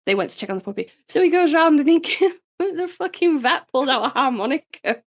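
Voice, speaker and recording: A woman co-hosting a podcast in casual chat, a little hoarse and nasal from being sick, telling stories in a chatty, swearing way. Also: high-pitched, brisk. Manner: chatty, swearing, animated storytelling. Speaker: podcast co-host.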